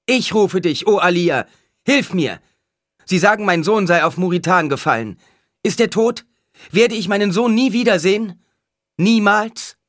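A man's voice: high voice, animated, unhurried, fairly bright tone, no room sound, studio quality, pitched above the performer's own voice. A man voicing a desperate mother in an audiobook, calling out and wailing as she pleads. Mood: desperate, pleading.

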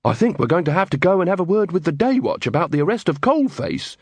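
Storybook role: polite, literal-minded young city guard, done by a man, high-set and swooping, dry close recording. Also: big pitch swings, husky, no room sound, exaggerated voice. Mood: earnest, polite. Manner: measured, formal, officious.

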